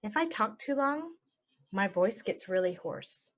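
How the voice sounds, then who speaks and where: A woman teaching English phrases, saying each one and then repeating it slowly and clearly for learners. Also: medium pitch, expressive. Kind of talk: slow phrase-by-phrase language lesson.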